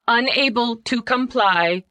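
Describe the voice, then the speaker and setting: A woman voicing an office computer that gives flat, mechanical replies. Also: a little high, a little slow, dry recording, voice pushed up. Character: stiff, clipped office computer.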